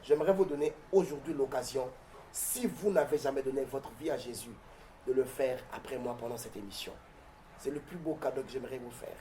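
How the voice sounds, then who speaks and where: A man from southern Congo-Brazzaville, with Mbembe as his first language, speaks in a declamatory, preacherly way, pausing on hesitant fillers. His voice is mellow and sits at an average pitch. A faint noise hangs behind it. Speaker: pastor and guest. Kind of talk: interview answers turning to a prayer.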